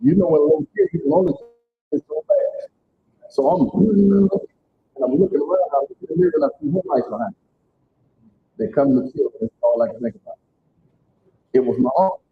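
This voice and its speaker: A man's voice: sing-song, brisk, gravelly, long pauses. Middle-aged man, plain-spoken and emphatic, giving testimony to a church-hall crowd. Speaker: speaker at community event. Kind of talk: personal testimony to a live audience. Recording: tinny phone-like sound, faint rumble.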